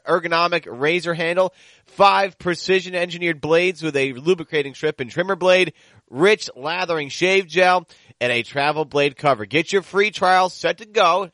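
A male sports podcast host with a fairly high, bright voice. He speaks emphatically and leans on superlatives, whether reading out copy or talking basketball. The sound is dry, with very little room on it. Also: no room sound. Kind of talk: sports talk and host-read ad.

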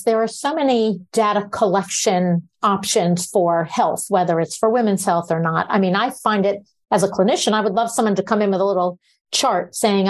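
A woman hosting a podcast, a clinician herself, asking her guests curious, conversational questions. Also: medium pitch. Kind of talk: interview questions.

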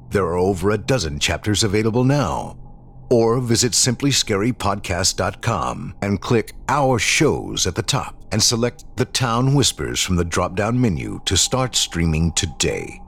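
A man's voice: low voice, gravelly, fairly bright tone, a little hiss or hum. A man hosting a horror podcast, reading a scripted introduction with theatrical, dramatic phrasing. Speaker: podcast network host.

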